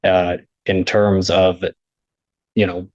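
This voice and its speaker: A man's voice: low-pitched, level tone, takes it slowly, a little mellow, no echo. A man arguing in court as a defense attorney, deferential and hesitant in his phrasing. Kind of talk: courtroom argument. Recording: dull, lo-fi courtroom audio.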